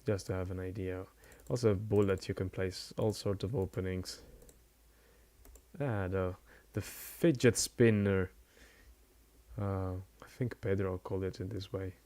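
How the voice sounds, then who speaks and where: Man, quiet voice, very slow, hesitant, fillers and restarts, live-stream commentator. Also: sits low, fairly even intonation. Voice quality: quiet, soft-spoken. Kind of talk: casual live-stream chat.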